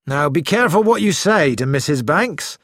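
A man's voice: fairly high pitch, expressive, moderate pace, no echo, clean studio sound. A man reading the part of a police inspector, curt and matter-of-fact. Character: brisk male police inspector.

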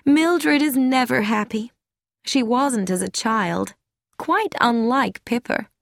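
A woman lifts her voice into a sweet, untroubled elderly lady with a soft and musical way of speaking. She sounds higher than the narration and lilts freely up and down. The recording is close and dry. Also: lively intonation, takes it slowly, creaky, no room sound, exaggerated voice. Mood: gentle. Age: older. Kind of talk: audiobook character voice.

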